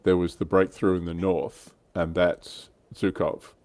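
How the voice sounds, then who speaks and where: Deep-voiced man hosting a casual discussion. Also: flat delivery, unhurried, a little mellow, no echo. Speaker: livestream host. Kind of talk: casual panel discussion of military history.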